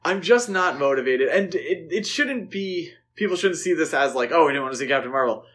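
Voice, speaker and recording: A man co-hosting a podcast, chatting casually about films in a halting, conversational way. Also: on the high side, animated, fairly bright tone. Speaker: podcast co-host. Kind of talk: casual film discussion.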